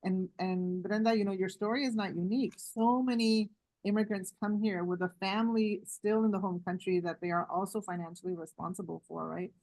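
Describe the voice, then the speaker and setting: A woman hosting a community call, encouraging and warm, often tagging her points with "right?". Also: mid-range voice, fairly even intonation, a little fast, dry recording, low-quality recording. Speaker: host. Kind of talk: moderating a community video call.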